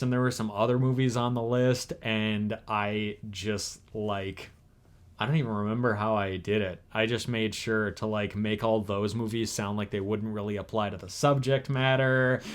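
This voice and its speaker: A young man in his twenties co-hosting a casual chat, rambling with many fillers and restarts. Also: mid-range voice. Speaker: co-host.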